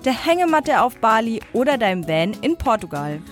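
A woman reads a podcast jingle in an upbeat, promotional voice. She speaks fairly high with a very lively melody. Faint music plays behind her. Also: moderate pace.

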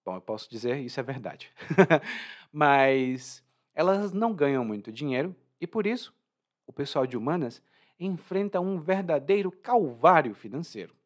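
Lively Brazilian man explaining words. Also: on the high side, measured pace. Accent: Brazilian Portuguese. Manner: lively, informal, chatty, laughs at own jokes. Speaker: language teacher. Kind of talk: explaining vocabulary from a story.

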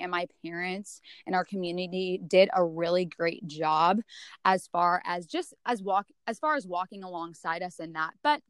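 Earnest young woman, smooth clear voice. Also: lowish voice, fairly bright tone, no room sound. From San Francisco area, California. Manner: earnest, reflective, many ums. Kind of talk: casual interview chat.

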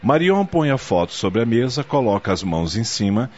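A man's voice: medium pitch, very animated, moderate pace, a little mellow. A man delivering scripted, dramatic narration for a radio soap opera. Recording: dull noisy audio with ambience.

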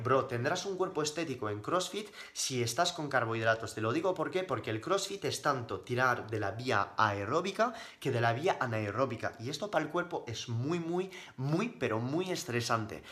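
A man's voice: medium pitch, a bit bright, slight background noise. A man answering questions casually on a livestream as a fitness coach, speaking bluntly and emphatically, with slang and repeated words for stress.

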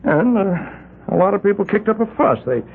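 Rich old island boss, gravelly husky voice, stammers and rambles, tinny old radio sound. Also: male voice, sits high, big pitch swings, a little fast, exaggerated voice. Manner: hesitant, rambling, stammers through excuses. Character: wealthy older island patriarch, evasive and fretful. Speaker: island community leader. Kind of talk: 1970s radio drama acting. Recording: tinny lo-fi 1970s radio broadcast.

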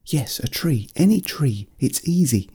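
A gentle little storybook bear, voiced by a man, speaks in a raised, soft-edged voice with a husky grain. The creature is polite and coaxing, soothing as it talks. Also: mid-range voice, expressive, measured pace, pitched above the performer's own voice, heavily acted. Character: small gentle friendly magical teddy bear.

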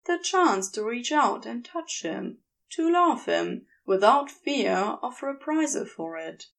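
A woman narrates fiction with slow, deliberate phrasing and a pitch a little above the usual. Her melody moves widely from phrase to phrase, and the voice often settles into a gravelly fry. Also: animated. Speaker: audiobook narrator of fan fiction. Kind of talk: scripted story narration, expressive.

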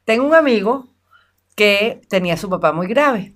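A woman with a medium-pitched voice tells anecdotes in a casual chat. She is an animated storyteller who voices the people she quotes. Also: normal speed.

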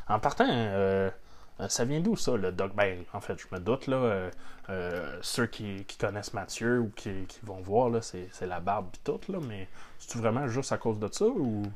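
A man's voice: fairly low pitch, lively intonation, normal speed. A man with a Québécois accent hosting a podcast, talking casually with many hesitations and some teasing jokes. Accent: Québécois French. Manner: hesitant, full of fillers, joking. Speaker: podcast host. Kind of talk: casual interview chat.

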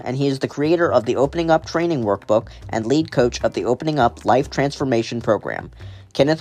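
Male podcast host, earnest formal delivery, soft music underneath. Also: mid-range voice. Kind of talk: scripted intro, then interview questions.

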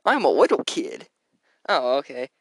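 An awkward teenage boy, voiced by a woman who mumbles and stumbles over his words. The voice is dropped low and slow in a dry, close recording for a homemade cartoon. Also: very animated, breathy edge, dry recording, exaggerated voice. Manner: hesitant, mumbling.

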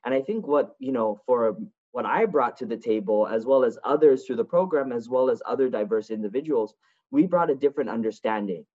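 A man's voice: medium pitch, lively intonation, fairly quick. A man from Hawaii, a state representative and former diplomat, answering interview questions in an earnest, upbeat way. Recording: dull lo-fi audio.

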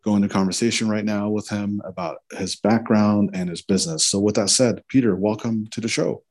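A man's voice: lowish voice, fairly even intonation, a little fast, darkish tone. A man hosting an interview show, upbeat and encouraging, prompting his guest with casual fillers. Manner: upbeat, encouraging, uses fillers like "you know".

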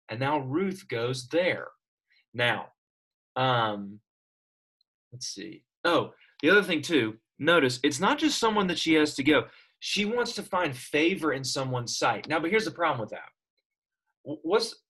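A man's voice: a little high, breathy edge. A man teaching a classroom Bible lesson, asking his students questions as he explains. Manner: animated, asks students questions.

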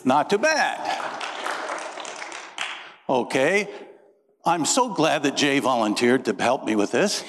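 A man's voice: medium pitch, expressive, slow pace, long pauses, a crowd in the background, background noise. A middle-aged man from Indiana preaching a sermon as pastor, folksy and joking as he tells stories. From Indiana, USA. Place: church. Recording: reverberant church sanctuary.

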